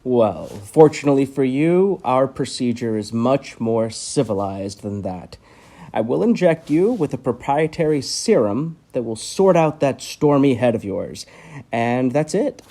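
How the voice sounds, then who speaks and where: A menacing doctor in a horror audio play, a man with a middling pitch. He is cold, controlling and condescending, speaking deliberately and imperiously, as if every word were an order. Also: lively intonation, mellow. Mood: smug, domineering.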